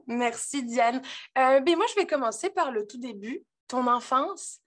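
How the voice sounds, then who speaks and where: Woman hosting, fairly high voice. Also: animated, unhurried. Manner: reads a prepared introduction with many hesitations. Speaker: interview host. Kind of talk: virtual interview hosting.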